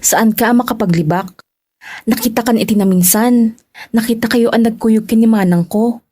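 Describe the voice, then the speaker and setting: A woman with an Ilocano accent playing a teasing, forward younger sister in a radio drama, husky-voiced. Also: on the high side, moderate pace, dark tone, no room sound, lightly acted.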